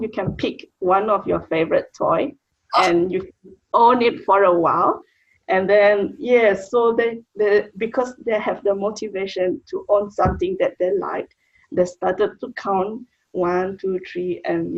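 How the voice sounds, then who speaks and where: Malaysian woman with a husky, gravelly voice. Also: medium pitch, highs cut off. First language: Mandarin. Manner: plain, chatty, with short restarts. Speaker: guest, early childhood educator. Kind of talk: casual interview answers.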